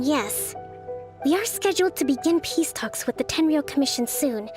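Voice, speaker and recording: Game role, for a woman: gentle but firm young leader, high voice, measured formal delivery. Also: natural voice.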